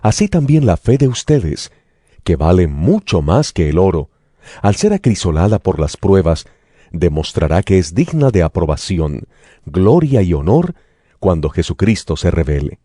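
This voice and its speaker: A man narrating a dramatized Bible reading in a solemn, measured declamation. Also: sits low, sing-song, mellow, no room sound, clean studio sound. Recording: music bed under the voice.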